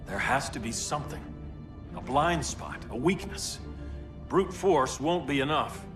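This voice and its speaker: An actor playing an earnest, determined superhero leader in a video game, serious and direct. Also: male voice, mid-range voice, lively intonation, measured pace, over music, lightly acted. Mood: intent.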